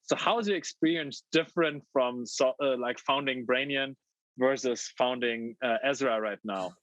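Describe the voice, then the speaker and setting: A man in his thirties hosts a fireside chat over a video call, friendly but halting, stumbling through restarts and "um"s. His voice sits at an ordinary pitch, and the sound is dry and a bit muffled. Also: fairly bright tone, no echo. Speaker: moderator. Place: home room.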